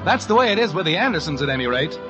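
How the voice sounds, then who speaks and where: A man's voice: a little high, big pitch swings, racing, dull audio. A man announcing a 1950s radio show from a script, with a folksy, playful delivery. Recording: old radio broadcast over loud music.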